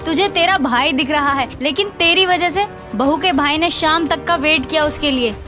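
A woman voicing a quick-tempered, dramatic family woman in a cartoon, with emphatic delivery. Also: high voice, music in the background, natural voice. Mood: scolding.

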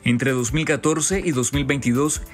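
A man reading a scripted news voice-over in a steady, formal delivery. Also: mid-range voice, moderate pace, music in the background. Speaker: news narrator.

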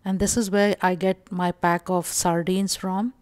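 A woman from India narrating a casual shopping walkthrough vlog, calm and explanatory with frequent pauses and fillers. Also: fairly low pitch, no echo. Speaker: vlogger.

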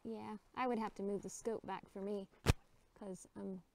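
A woman's voice: medium pitch, steady tone, normal speed, vocal fry, heavy background noise. A woman chatting cheerfully while shooting at an outdoor range, picked up by a distant camera mic. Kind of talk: casual range chat.

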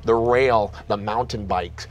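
A man running a tabletop game, narrating the action in a halting, descriptive way. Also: on the low side, fairly even intonation, faint music behind. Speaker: game master. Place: studio set at a table.

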